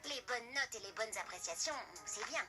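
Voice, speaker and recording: Woman acting as teenage cartoon schoolgirl, high voice, chatty, dramatic, ambitious, confiding, loud music behind. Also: expressive, normal speed, lightly acted. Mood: earnest, insistent. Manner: theatrical, confiding narration to the listener. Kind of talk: French animated series dubbing.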